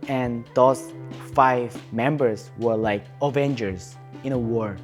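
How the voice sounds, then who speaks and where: A young man from North Korea with a Korean accent, speaking English as a second language in an earnest, halting way, often restarting his sentences. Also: mid-range voice, faint music behind. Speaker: advocacy speaker. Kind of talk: interview testimony.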